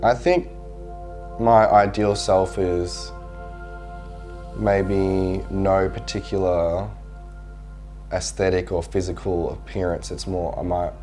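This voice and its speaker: A man speaking hesitantly in a documentary interview, with pauses and restarts. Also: sits low, mellow.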